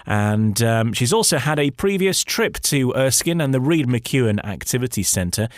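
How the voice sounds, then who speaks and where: A man's voice: medium pitch, lively intonation, normal speed, dry recording. A male radio host with a mid-range voice interviews a guest on a chat show. He falters and repeats words as he thinks aloud, recorded close and dry with no room sound. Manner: hesitant, many repeated words when ad-libbing.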